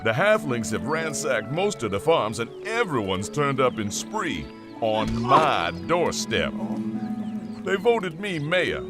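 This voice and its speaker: Folksy weary village mayor for a game, slow and really swoopy, music behind. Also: male voice, medium pitch, big pitch swings. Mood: weary, pleading. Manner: folksy, rambling. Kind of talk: comic game character dialogue.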